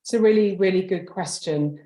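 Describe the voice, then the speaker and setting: Dark, low-voiced woman in echoey room. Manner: measured, considered, hedging phrasing. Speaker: boarding school head. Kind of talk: webinar panel discussion. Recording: roomy, echoing webinar audio.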